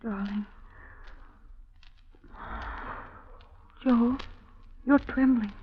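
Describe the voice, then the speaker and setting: A woman's voice: a little high, steady tone, unhurried, a little hiss or hum, lightly acted. A woman playing a devoted, clinging young American sweetheart of a thief in 1940s radio drama, breathless, pleading and emotional. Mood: soothing. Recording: muffled old 1940s radio recording with music.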